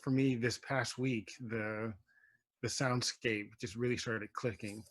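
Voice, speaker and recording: A man who writes plays, speaking unscripted as a panel guest, reflective and searching for his words in halting phrases. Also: medium pitch, steady tone, fairly bright tone, dry recording.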